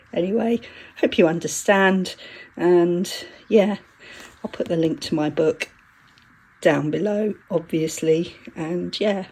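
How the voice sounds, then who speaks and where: A woman's voice: on the low side, creaky, darkish tone, a little hiss or hum, rough recording. A woman with a congested voice from a heavy cold, chatting casually about her crafting and stumbling over her words. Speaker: craft vlogger. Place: home craft table.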